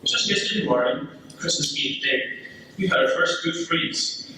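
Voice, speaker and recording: A man playing a wistful British First World War soldier, telling his letter home in an earnest, measured readers theater style. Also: fairly high pitch, expressive, sharp tone. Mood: earnest wonder. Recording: echoey hall from far off, faint noise.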